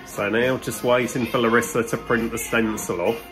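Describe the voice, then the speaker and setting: A British man in his twenties chatting casually to a phone held at arm's length, speaking in a hesitant, halting way. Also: mid-range voice, fairly even intonation, music in the background, rough recording. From Britain. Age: 20s. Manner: hesitant, halting, many pauses with ums. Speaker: vlogger. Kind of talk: casual vlog talk to camera. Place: on the move: street, shopping centre, station, small studio.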